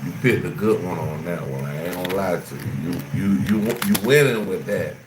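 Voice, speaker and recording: A man's voice: low voice, animated, normal speed, music in the background. A man from Florida hosting a casual livestream, chatting loosely with viewers in slang and repeating his questions. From Jacksonville, Florida. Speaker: livestream host. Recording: echoey room with loud background noise.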